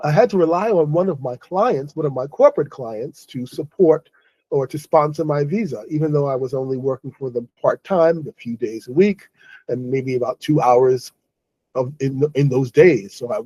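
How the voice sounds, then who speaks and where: A middle-aged man from New City, speaking to his viewers in a halting, casual monologue and sounding weary after a stressful day. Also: fairly high pitch, dark tone, breathy edge, no echo, poor audio. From New York City. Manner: halting, many restarts and self-corrections. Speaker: online English host.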